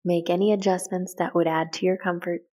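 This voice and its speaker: A woman with a slightly low-set voice leads a guided meditation. Her delivery is gentle and calm. She gives soft instructions in short, measured phrases and never hurries.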